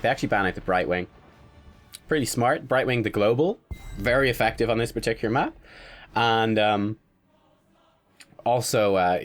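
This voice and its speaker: Casting: young man with a head cold, stuffed up, lowish voice, chatty game analyst. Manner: chatty, analytical, with little laughs. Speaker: gaming commentator. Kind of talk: casual game commentary over draft.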